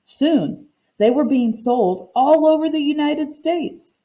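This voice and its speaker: A woman reading aloud the part of a proud, earnest older woman who recounts her community's history in a storybook, in measured phrases. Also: high-pitched, dark tone, pitched above the performer's own voice.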